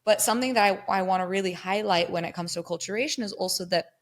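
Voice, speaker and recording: A woman's voice: mid-range voice, fast. A woman from England and Germany, a guest researcher, explaining ideas step by step in a thoughtful conversational way. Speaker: guest researcher on migrant mental health.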